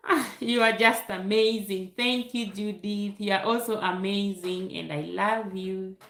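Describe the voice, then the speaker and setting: Kenyan woman doing a chatty live q&a, slow talker, lots of laughing, echoey room. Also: medium pitch, fairly even intonation, a bit bright. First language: Luo. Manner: chatty, reads viewer comments aloud, laughs often. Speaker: YouTube livestream host. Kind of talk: casual live Q&A with chat.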